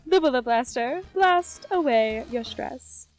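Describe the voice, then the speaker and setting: A woman announcing a spoof radio commercial. Her voice is high, with a salesy, knowingly suggestive delivery. Light music plays behind her. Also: animated, slow. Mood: smooth selling. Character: suggestive female radio advert announcer selling a gadget. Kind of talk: scripted parody radio advert. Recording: music bed under the voice.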